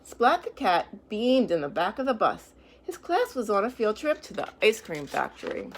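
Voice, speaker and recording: A woman reading picture books aloud to young children, pausing to explain things in simple, reassuring words. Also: medium pitch, big pitch swings, a little hiss or hum.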